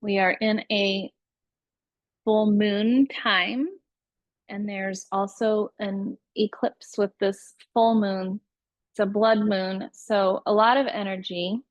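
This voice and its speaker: Female meditation guide, very slow and soothing, mid-range pitch, close dry recording. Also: steady tone, dry recording, muffled recording. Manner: unhurried, soothing instructional delivery with pauses. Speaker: yoga nidra meditation teacher.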